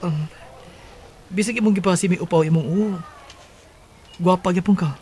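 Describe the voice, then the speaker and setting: A man's voice: sits high, moderate pace, dark tone, close to an everyday voice. A man with a Filipino Cebuano accent playing an earnest, tender teenage suitor in a radio drama. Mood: romantic confession. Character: Minggoy, earnest lovestruck teenage schoolboy suitor. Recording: music behind the voice.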